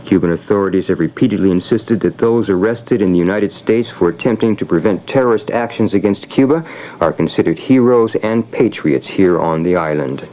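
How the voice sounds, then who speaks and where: Male radio newsreader, lowish pitch, formal and measured scripted delivery, heard through thin shortwave band. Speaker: radio news bulletin reader. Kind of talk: scripted news reading. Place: radio studio. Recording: narrow tinny shortwave radio broadcast.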